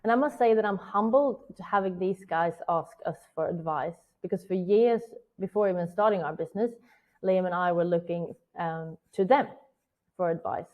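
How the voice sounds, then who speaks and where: A woman's voice: on the low side, normal speed, phone-quality sound. Swedish woman presenting a webinar. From Sweden. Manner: earnest, personal storytelling. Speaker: virtual event strategist.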